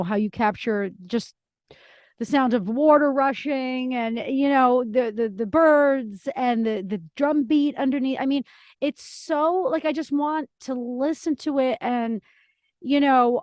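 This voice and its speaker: A woman's voice: fairly high pitch, a little mellow, no echo, studio quality. A woman hosting a podcast, talking casually in an effusive, emphatic way. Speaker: podcast host. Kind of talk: casual conversational interview.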